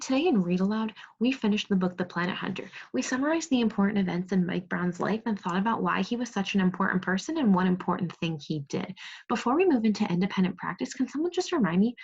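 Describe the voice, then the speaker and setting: A woman teaching second grade over a video call, reading aloud, giving clear instructions and prompting pupils with questions. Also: medium pitch, a little mellow. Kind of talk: interactive read-aloud lesson on video call. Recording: dull, muffled video call audio.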